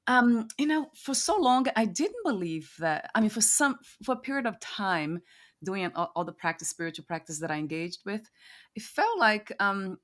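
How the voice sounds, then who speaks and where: A woman's voice: mid-range voice, expressive, normal speed. A woman hosting a reflective conversational interview, musing aloud and often searching for her words. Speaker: podcast host interviewing a guest.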